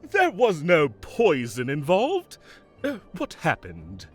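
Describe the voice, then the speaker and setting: A man playing a mischievous, evasive fae king who is secretly fond, theatrical and halting when flustered, in an audio roleplay. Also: on the high side, wildly swooping pitch, breathy edge, faint music behind, exaggerated voice. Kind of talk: scripted audio roleplay acting.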